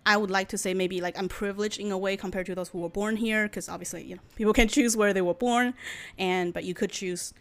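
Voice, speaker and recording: A woman in her late twenties from China, a native Chinese speaker, answering interview questions thoughtfully with many pauses and fillers. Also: mid-range voice, fairly even intonation. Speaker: guest. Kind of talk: casual interview.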